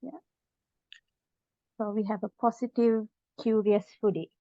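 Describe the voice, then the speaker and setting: Softly spoken woman hosting an online session. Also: mid-range voice, steady tone, a little slow, no echo. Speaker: session host. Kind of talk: leading an online workshop. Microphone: video call. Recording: muffled video call audio.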